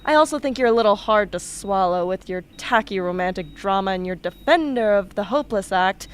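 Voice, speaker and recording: A woman's voice: mid-range voice, expressive, fairly quick, clear, resonant tone, lightly acted. A woman playing a blunt, sardonic, tough young patient in an amateur radio drama, with a mocking delivery. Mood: blunt, scornful. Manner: sarcastic, mocking. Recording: noisy amateur radio play recording.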